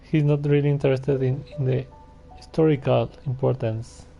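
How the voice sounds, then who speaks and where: A man giving casual gameplay commentary in a halting, hesitant way on a muffled microphone. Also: medium pitch, steady tone, creaky, warm tone, faint music behind. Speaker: gaming streamer. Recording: muffled microphone with faint background noise.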